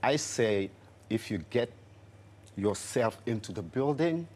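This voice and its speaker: A middle-aged man from Senegal, a dance teacher, talking haltingly in a TV studio interview. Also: lowish voice, animated, breathy edge. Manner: warm, halting, with many fillers and restarts.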